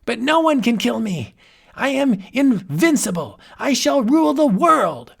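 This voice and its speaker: Man voicing a mad old wizard, raised gravelly voice, slow ranting delivery, storybook villain. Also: on the high side, animated, exaggerated voice. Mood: maniacal triumph. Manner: ranting, theatrical, rising to shrieks. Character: raving old male warlock, grandiose and unhinged.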